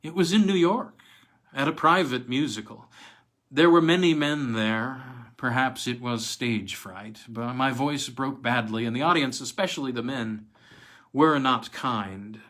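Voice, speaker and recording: A man's voice: mid-range voice, unhurried, fairly bright tone, close to an everyday voice. A man voicing a coy, seductive and faintly sinister noblewoman in a storybook reading, purring and sighing. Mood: wounded, sighing.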